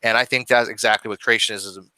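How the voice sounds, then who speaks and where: A man's voice: fairly low pitch, steady tone, quick pace, sharp tone, dry recording, low-quality recording. A man in his forties hosting a casual video-call discussion from a dim room at home, talking with many stammered restarts. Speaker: host. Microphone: webcam video call.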